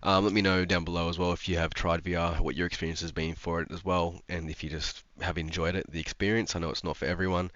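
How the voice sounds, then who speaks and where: Deep-voiced aussie bloke with a blocked nose from a cold, rambly, dull dry sound. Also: male voice, flat delivery, fast talker, hardly any pauses, fairly bright tone, no room sound. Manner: rambling, frequent ums and restarts. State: congested from a cold. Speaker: sim racing YouTuber. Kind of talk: casual commentary to camera.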